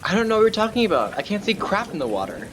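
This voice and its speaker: A man's voice: high-pitched, sing-song, very fast. A man playing a puzzled, uneasy, sceptical companion in a student radio play, with a hesitant, casual, questioning delivery. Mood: uneasy, baffled. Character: Johnny, puzzled, uneasy lighthouse companion, sceptical and plain-spoken. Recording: rain and thunder noise behind. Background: rain and thunder.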